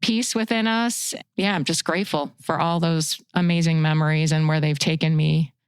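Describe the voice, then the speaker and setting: An American woman in her sixties with a slightly low, exceptionally clean and resonant voice. She talks in a reflective, heartfelt way as a podcast guest, and the recording is dry with no room sound. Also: steady tone, normal speed, smooth, dry recording. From Chicago suburbs, USA. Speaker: podcast guest, fishing guide and outdoor writer. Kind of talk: reflective interview talk.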